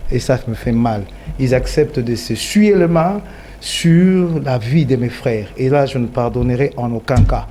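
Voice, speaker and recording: A man with a Congolese accent making a measured, reassuring argument in a political debate. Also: mid-range voice, warm tone, a little hiss or hum. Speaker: debate guest.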